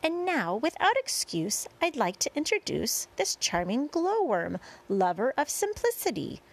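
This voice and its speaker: A woman playing a small boy in a storybook, cheerful, polite and excited, with exclamatory, singsong rhyming delivery. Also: sits high, unhurried, pitched above the performer's own voice, full character voice. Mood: proud, showman-like.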